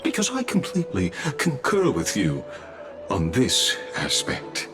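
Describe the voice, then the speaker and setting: An actor voicing a courtly, scheming male noble host in a game cutscene, unctuous and flattering, chuckling often. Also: lowish voice, unhurried. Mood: probing. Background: game score music.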